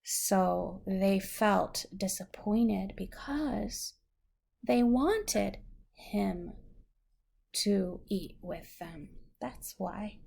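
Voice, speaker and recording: A woman's voice: mid-range voice, drawn-out words, gravelly, darkish tone, slightly rough. A woman reading a story aloud to a young child, patiently explaining words in simple terms. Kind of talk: slow read-aloud storytelling with questions to a child.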